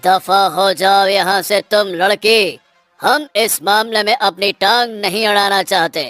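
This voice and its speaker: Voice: mid-range voice, steady tone, a little slow, a bit bright, no echo, soft background music, lightly acted. A performer voicing a stern, haughty king in a Hindi cartoon, curt and imperious. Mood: cold, dismissive.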